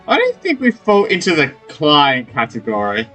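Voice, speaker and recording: A man's voice: a little high, huge pitch swings, moderate pace, fairly bright tone. A man doing casual, wry gameplay commentary, reacting in short asides as he plays. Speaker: gamer playing through and reacting. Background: game music.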